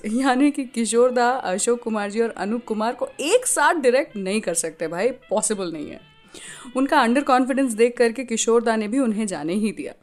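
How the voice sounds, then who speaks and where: A woman's voice: fairly high pitch, darkish tone. An Indian woman hosting a radio show, telling scripted stories in a chatty way straight to the listener and mixing in English phrases. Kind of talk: scripted radio storytelling and song introductions.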